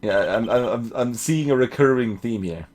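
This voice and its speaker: A man's voice: medium pitch, lively intonation, moderate pace, a little mellow, a little hiss or hum. A man doing let's play commentary, reading a game aloud and talking back to it and to his chat.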